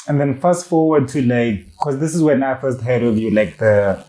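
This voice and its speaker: A man's voice: medium pitch, measured pace, mellow. A man hosting a casual music interview, easygoing and chatty with lots of short affirmations. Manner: easygoing, short affirmations, calls guest bro. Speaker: interviewer, host.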